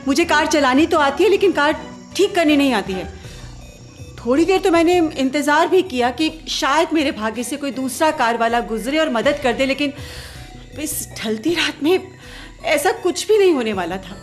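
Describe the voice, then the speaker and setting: An actress playing a proud, sharp-tongued woman stranded at night, formal and argumentative, in a radio play with music. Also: sits high, animated, normal speed. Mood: weary, explaining.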